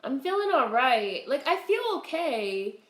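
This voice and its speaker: A woman hosting a casual livestream, greeting viewers in a relaxed, chatty way while congested with a cold. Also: sits high, measured pace, roomy sound. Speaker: livestream host.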